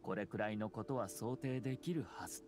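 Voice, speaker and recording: A man voicing a courteous, calculating young clan head in a Japanese anime game, smooth, formal and measured. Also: on the low side, lightly acted. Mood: composed, serious. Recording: game music underneath.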